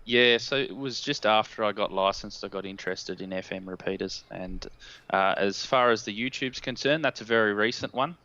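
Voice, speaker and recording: A man with an Australian accent talks casually as a guest on a video call. His voice is fairly low but clear and forward. The headset sound is dry and a little lo-fi. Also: moderate pace, sharp tone. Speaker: guest, amateur radio repeater builder. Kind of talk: casual interview chat over video call. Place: indoors in a plain room, video call. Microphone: headset boom mic.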